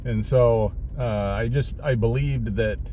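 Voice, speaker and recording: A middle-aged American man talks casually and haltingly, often trailing off and rephrasing as he goes. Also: mid-range voice. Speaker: vlogger, former Marine radio operator. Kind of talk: casual rambling monologue to viewers. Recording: loud vehicle and outdoor background noise.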